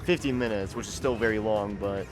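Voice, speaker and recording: A man's voice: lowish voice, a little fast, music in the background. A young man chatting casually while streaming a video game, rambling and often stuttering over the starts of his sentences. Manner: rambling, repeats words when starting sentences. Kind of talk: casual live-stream chat.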